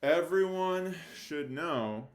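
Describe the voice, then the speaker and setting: A man's voice: fairly high pitch, expressive, drawn-out words. A man teaching fifth graders in an informal, colloquial way, often checking in with his listeners. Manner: colloquial, with check-in tags like "right?". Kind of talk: informal lesson addressed to students.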